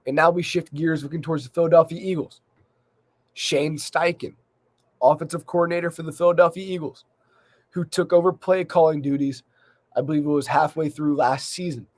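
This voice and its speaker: Higher-voiced man hosting sports talk, slight cold. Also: little pitch movement, fairly quick. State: slight cold, losing his voice a little. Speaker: sports podcast host. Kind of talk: solo sports recap commentary.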